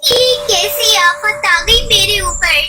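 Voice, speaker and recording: A woman's voice: very high voice, slow pace, pushed and bright, faint music behind, full character voice. A woman voicing an anxious, protective mother bird in a children's cartoon, plaintive and fretful. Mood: worried. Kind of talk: Hindi children's cartoon voice acting.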